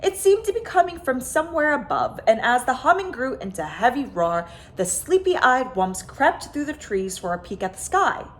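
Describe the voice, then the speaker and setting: Female storyteller for kids' picture book, higher-pitched and swooping, with dramatic, expressive delivery. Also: moderate pace, few pauses. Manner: expressive, dramatic emphasis with stretched words. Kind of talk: animated children's story reading.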